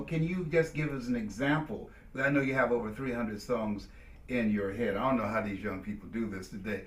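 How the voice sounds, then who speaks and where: Older guy on stage asking a guest to show his stuff, sounds far off in an echoey hall. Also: medium pitch. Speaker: motivational speaker. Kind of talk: public talk on stage.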